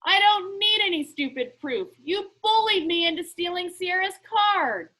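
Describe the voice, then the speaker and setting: A woman's voice: very high voice, animated, measured pace, sharp tone, voice pushed up, heavily acted. A woman voicing a whiny, defensive boy caught cheating, reading a children's book aloud. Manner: whining, sputtering.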